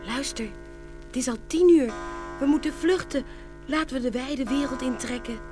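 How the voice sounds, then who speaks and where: A very high, girlish voice for a sweet porcelain shepherdess acted by a woman. She is devoted, easily frightened and pleading, in the manner of a storybook radio play. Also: moderate pace, music in the background, natural voice. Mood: anxious. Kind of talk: audio fairy-tale drama acting.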